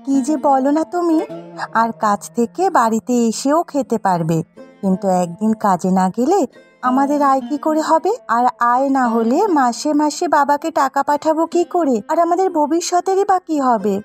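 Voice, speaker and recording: A woman voicing a caring, gently nagging village housewife in a cartoon story, coaxing and insistent. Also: high-pitched, lively intonation, normal speed, faint music behind. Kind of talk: Bengali cartoon story dialogue.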